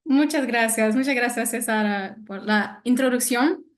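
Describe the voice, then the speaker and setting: A woman with a fairly high voice gives an online lecture in a language that is not her first. She speaks slowly and carefully, pausing often on fillers as she finds her words. She sounds like she is on a video call. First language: not Spanish. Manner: hesitant, many fillers, careful non-native Spanish. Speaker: guest speaker, senior analyst.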